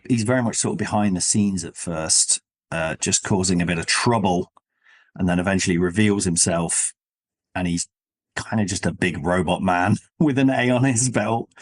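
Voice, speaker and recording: A man hosting a casual discussion, thinking aloud in a rambling, self-questioning way. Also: medium pitch. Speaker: podcast host. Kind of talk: casual comic-book discussion.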